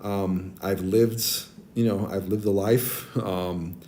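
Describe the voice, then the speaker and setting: A man in his forties with a naturally deep voice, chatting casually with stream viewers and giving friendly advice, with many ums and restarts. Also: fairly even intonation, slow, warm tone, a little hiss or hum. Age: 40s. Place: abroad, streaming on a tablet. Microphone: tablet mic.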